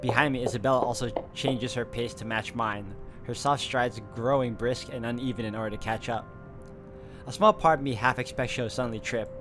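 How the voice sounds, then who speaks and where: A man's voice: mid-range voice, steady tone, brisk, music in the background. A man reading a visual novel aloud as he plays it. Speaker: video game player reading aloud.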